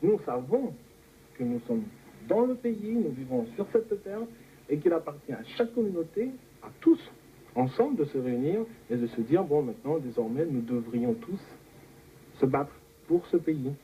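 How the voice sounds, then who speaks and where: A man delivers an earnest rallying speech in a fairly high, very animated voice. The sound is slightly dull, with faint noise. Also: moderate pace.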